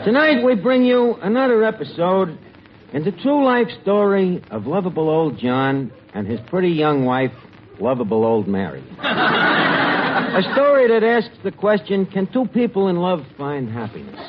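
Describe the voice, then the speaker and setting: Pushy, high-voiced New wise guy. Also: big pitch swings, a little slow. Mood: mock-grand. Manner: ungrammatical wise guy, mock-grand. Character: bumbling, pushy amateur playwright and self-styled director. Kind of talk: 1940s radio comedy acting. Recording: dull, very noisy old radio recording, studio crowd.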